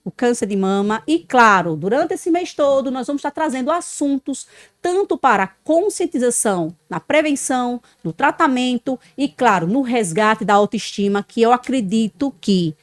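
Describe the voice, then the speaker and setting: A woman's voice: on the high side, moderate pace, dry recording, studio quality. A woman podcast host, talking expressively with frequent tag questions. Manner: expressive, frequent "né", loud greetings. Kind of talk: warm podcast interview.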